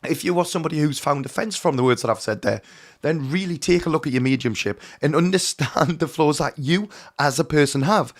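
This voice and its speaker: Hoarse male spiritual teacher, slightly raised pitch, earnest and emphatic direct address. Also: a little fast. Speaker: mediumship teacher. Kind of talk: direct-to-camera teaching talk.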